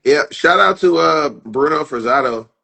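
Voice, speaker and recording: A man's voice: fairly high pitch, animated, normal speed, vocal fry, sharp tone, breathy edge. A man who is a fighter, a guest in a casual interview, talking loosely with many "you know what I'm saying" fillers.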